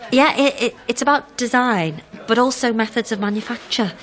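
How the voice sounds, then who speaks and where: A woman's voice: a little high, normal speed, fairly bright tone, natural voice. Actress doing a polite woman writer asking probing questions, natural radio drama style. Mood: brisk, polite.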